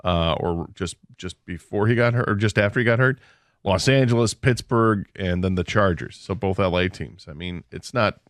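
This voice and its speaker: A man's voice: low voice, moderate pace, dry recording, studio quality. A man co-hosting a sports radio show, breaking down a football game in a casual, analytical way. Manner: analytical, confident picks. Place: radio studio.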